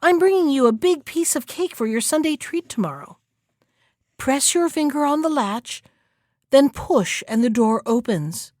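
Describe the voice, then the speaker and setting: A woman's voice: sits high, lively intonation, measured pace, no room sound, studio quality, pitched above the performer's own voice. A woman playing an innocent, trusting, cheerful little girl in a storybook tale. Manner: bright-eyed, naive, exclamatory.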